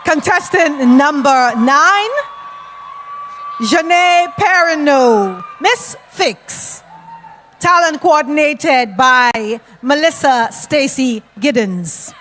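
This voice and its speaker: A woman's voice: high voice, lively intonation, extremely slow, a bit bright, a little hiss or hum. A woman announcing pageant contestants in a formal style.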